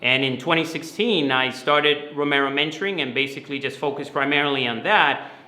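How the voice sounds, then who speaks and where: A man's voice: on the high side, brisk, sharp tone. A man from the Dominican Republic who grew up in New York, giving earnest, explanatory interview answers and often repeating words as he goes. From Dominican Republic, moved to New York City at eleven. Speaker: guest, finance mentoring company founder. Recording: roomy, echoey sound.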